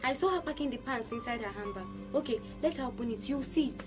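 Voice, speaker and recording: A woman's voice: a little high, animated, quick pace, loud music behind the voice, close to an everyday voice. An actress playing a young sales girl who insistently accuses a customer. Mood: accusing. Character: blunt young female shop assistant.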